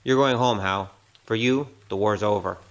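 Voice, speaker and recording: A man's voice: on the low side, moderate pace, natural voice. A man playing a frank, easygoing wanderer in an audio drama, casual and plain-spoken. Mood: solemn, frank.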